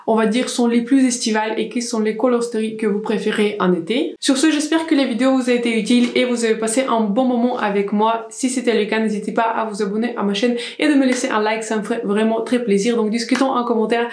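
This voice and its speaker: A woman's voice: fairly high pitch, fairly even intonation, fast talker, few pauses, darkish tone, reverberant. A young woman chatting through a casual beauty product review, rambling with restarts and fillers. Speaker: beauty vlogger. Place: small indoor room with plants.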